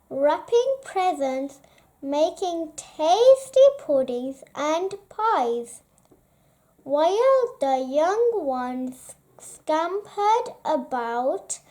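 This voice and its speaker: A woman with a high voice reads a children's bedtime story aloud. Her delivery is very slow and expressive, with pitch that rises and falls in a lively way.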